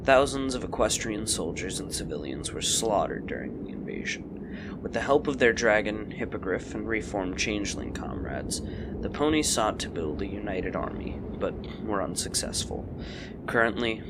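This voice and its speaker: A man's voice: on the low side, animated, normal speed, heavy background noise. A man reading scripted fantasy story narration as the narrator of an audio drama.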